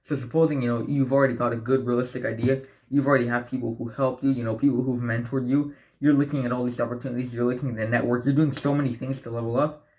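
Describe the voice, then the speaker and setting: Young male vlogger, late teens, preachy and profane, keeps saying "right?", average pitch. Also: little pitch movement, fast talker, a little mellow, dull audio. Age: young adult. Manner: blunt, profane, preachy motivational talk; addresses viewers as guys, asks right? often. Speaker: self-improvement vlogger. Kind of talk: direct-to-camera advice vlog.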